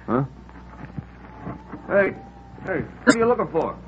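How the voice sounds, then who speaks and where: Excitable rough old-radio cabbie, tinny recording. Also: male voice, high-pitched, a little slow. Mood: puzzled. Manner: folksy, ungrammatical working-class speech. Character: rough, good-hearted, excitable male cab driver. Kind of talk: 1950s radio drama acting. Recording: muffled, noisy vintage radio recording, narrow band.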